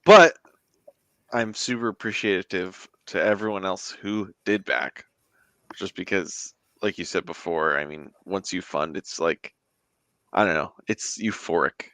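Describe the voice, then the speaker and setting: A man's voice: low voice, unhurried, fairly bright tone, no room sound. A man chatting casually as a guest in a group talk, informal and easygoing. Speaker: podcast guest, comic creator running a crowdfunding campaign.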